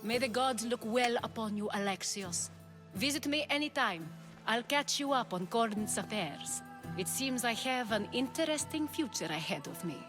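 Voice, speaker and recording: A woman acts out a worldly confidante in a game drama, self-possessed and knowing. Her voice is on the high side and wistful, with prominent music behind it. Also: animated, moderate pace, natural voice. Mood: assured, friendly. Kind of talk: dramatic cutscene acting.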